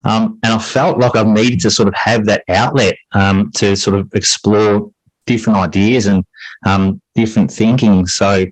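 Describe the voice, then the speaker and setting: Low-voiced man, reflective and explanatory. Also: fairly even intonation, moderate pace, dry recording, low-quality recording. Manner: reflective, explanatory, repeats phrases for emphasis. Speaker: interview guest, high school English teacher. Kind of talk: conversational interview answers.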